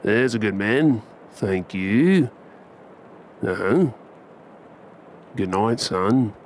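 A man's voice: fairly low pitch, animated, drawn-out words, slight background noise. A man with an Australian accent playing a tender, playful husband and dad in an intimate ASMR role play, affectionate and coaxing.

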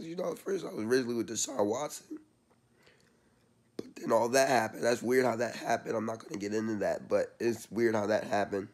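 A young man with a hoarse, worn-out voice giving a casual, slangy vlog update from a small room, recorded close on a laptop webcam. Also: mid-range voice, darkish tone. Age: young adult. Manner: loose and chatty, slangy. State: hoarse, losing his voice. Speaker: sports channel vlogger.

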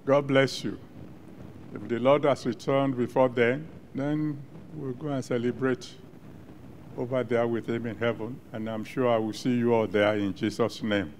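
A man's voice: mid-range voice, steady tone, moderate pace, a little mellow, a little hiss or hum. An older man with a Nigerian accent, a pastor pronouncing blessings in rhythmic, repeated declarations into a handheld mic on a large studio stage. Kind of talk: sermon blessing.

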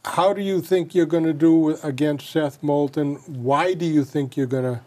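A man's voice: a little high, fairly even intonation, moderate pace, darkish tone. An older man hosting a local TV interview show, asking questions in a folksy, conversational way from a studio set. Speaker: TV show co-host. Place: dark studio set, seated at table.

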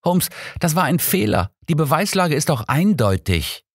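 A man's voice: a little high, very animated, normal speed, slightly rough, no room sound, clean studio sound, pitched above the performer's own voice. A man voicing a detective's doubting, exasperated companion in an audiobook, questioning and challenging. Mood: scolding.

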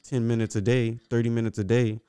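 Young man, mellow tone, clean resonant voice, informal slangy podcast chat, close mic. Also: medium pitch, level tone, brisk, clean tone. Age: young adult. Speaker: podcast co-host. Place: small indoor podcast room. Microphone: close podcast microphone on boom arm.

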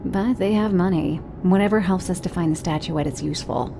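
A woman's voice: lowish voice, a little fast, gravelly, noisy background. A woman playing a cool, pragmatic mercenary team leader in a video game, speaking in a controlled, matter-of-fact way. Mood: wary, frank. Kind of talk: video game cutscene voice acting.

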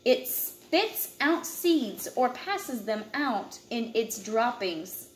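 A woman in her thirties reading a children's nature book aloud at home in an expressive way, with asides to young listeners. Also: fairly high pitch, extremely slow. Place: indoors, open living room and kitchen at home. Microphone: camera a short distance away. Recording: echoey room with clear background noise.

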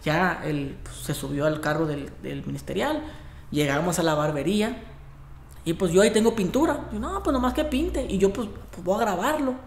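A man in his thirties with a Mexican accent, telling a story in a casual vlog, profane and animated, acting out what others said. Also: high-pitched, normal speed, creaky, echoey room. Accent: Mexican Spanish. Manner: animated, profane, acts out quoted voices with gestures. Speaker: barber and vlogger. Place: indoor barbershop with brick wall. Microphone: camera at desk distance.

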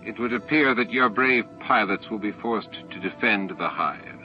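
A man voicing a hypocritical alien leader with measured, formal, falsely regretful speech in an audiobook. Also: fairly low pitch, lightly acted. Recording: dull lo-fi tape audio with music.

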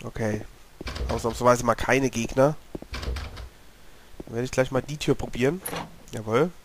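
A German-speaking man with a cold, slightly hoarse, giving chatty commentary while playing a horror game and talking to his character. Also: mid-range voice, measured pace, background noise. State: has a cold, clearing his throat. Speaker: let's play gamer. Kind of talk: casual gameplay commentary.

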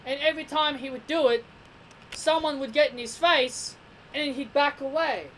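A young man giving a casual wrestling review from his bedroom, excitable and repeating himself with stammering restarts, recorded on a desk webcam. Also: very high voice, moderate pace. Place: small bedroom. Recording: noisy home webcam recording.

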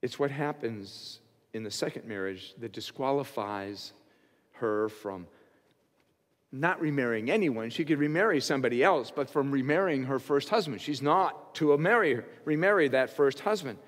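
A man in his sixties, a pastor, preaching an expository sermon from a church pulpit, earnest and rising in pitch and volume on key points. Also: expressive, moderate pace. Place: church stage behind a pulpit. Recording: long hall reverb of a church sanctuary.

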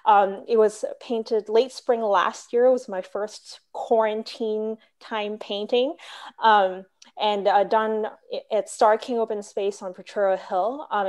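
A young adult woman from Hong Kong presenting her paintings on a video call, measured and full of "um" and "uh". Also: medium pitch, a little mellow. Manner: measured, with frequent "um" and "uh". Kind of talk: online slide presentation. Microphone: laptop webcam video call.

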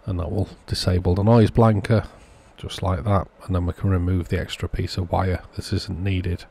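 A man's voice: deep voice, flat delivery, normal speed, vocal fry, mellow, slight background noise. A man narrating a hands-on repair walkthrough in a matter-of-fact, understated way, as the host of a repair channel.

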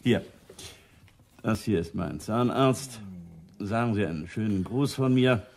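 An actor playing a genial, commanding senior police officer in a radio crime drama, jovial and patronising. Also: male voice, lowish voice, unhurried, darkish tone, a little hiss or hum.

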